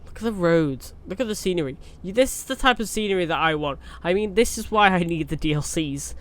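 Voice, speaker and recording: Young guy with a bit of a cold, rambling gameplay chat, pitched a bit high, smooth clear voice. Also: animated, a little fast, a bit bright. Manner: rambling, chatty, with many fillers and restarts. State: has a cold. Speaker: gaming YouTuber. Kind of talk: casual gameplay commentary.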